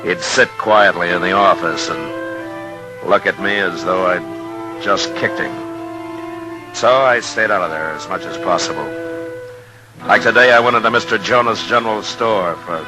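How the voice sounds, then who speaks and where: An American actor playing a gruff, weary, decent Old West marshal in a 1950s radio drama, speaking in a terse, measured way. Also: male voice, deep voice, poor audio. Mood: weary narration. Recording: vintage 1950s radio recording, music.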